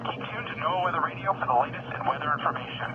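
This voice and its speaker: Male radio weather announcer, higher register, formal official warning read, lo-fi and heavily noisy transmission. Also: rapid-fire. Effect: radio broadcast.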